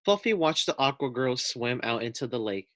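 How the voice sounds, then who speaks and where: A man reading a children's storybook aloud as a teacher, with deliberate, clear phrasing. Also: medium pitch, big pitch swings, a bit bright, no echo, clean studio sound.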